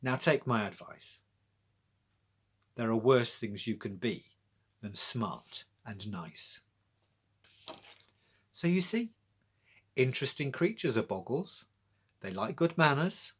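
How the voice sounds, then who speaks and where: A man's voice: mid-range voice, expressive, takes it slowly, pauses often, breathy edge. A man reading children's poems and chatting about them, speaking directly to young listeners and asking them questions. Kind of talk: children's poetry reading with friendly explanatory chat.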